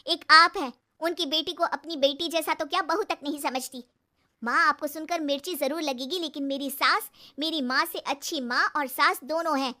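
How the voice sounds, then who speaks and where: A woman's voice: sits high, normal speed, sharp tone, voice pushed up. A woman voicing an outspoken young daughter in a cartoon story, indignant and reproachful. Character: outspoken young daughter standing up to her mother.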